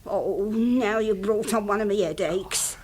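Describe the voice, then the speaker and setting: A woman's voice: mid-range voice, measured pace, a little mellow, a little hiss or hum, natural voice. An actress playing a fussy, self-pitying older English widowed mother in a radio drama. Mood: aggrieved. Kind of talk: BBC radio drama acting.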